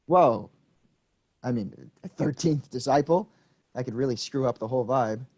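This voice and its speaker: An actor playing an eager, deferential disciple who earnestly prods and flatters his boss in a comic play reading. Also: mid-range voice, normal speed, breathy edge, close to an everyday voice.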